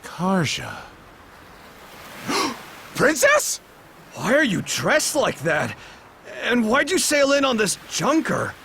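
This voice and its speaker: An actor playing an excitable, suspicious port guard in a video game, calling out bluntly. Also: male voice, very high voice, slow pace, creaky, pauses often, a little hiss or hum, full character voice. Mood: surprised. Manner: loud, blunt challenging. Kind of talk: video game cutscene acting.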